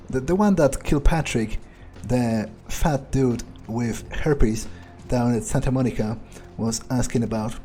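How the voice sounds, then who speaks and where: A man's voice: mid-range voice, dark tone. A man doing in-character gameplay commentary, thinking aloud in a nonchalant, rambling way with casual swearing. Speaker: let's player.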